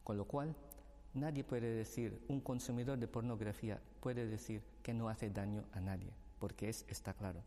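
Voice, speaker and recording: A man from the Philippines, not a native speaker, explaining his view on a discussion panel in a measured way and citing studies. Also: fairly low pitch, fairly even intonation, dark tone, in a large hall. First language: Filipino language. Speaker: panel guest.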